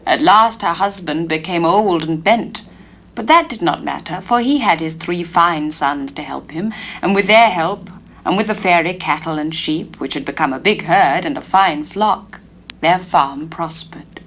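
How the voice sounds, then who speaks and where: English actress, storybook narrator, gentle measured reading. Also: female voice, lowish voice. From England. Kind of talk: storybook reading on a spoken-word LP.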